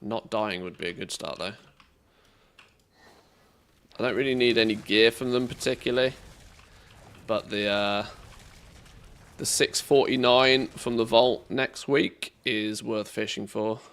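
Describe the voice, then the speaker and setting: A man with an English accent giving relaxed, chatty commentary on a live game stream, reacting to play and greeting viewers. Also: fairly low pitch, a little slow, pauses often, clear, resonant tone. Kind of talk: casual live-stream commentary while gaming.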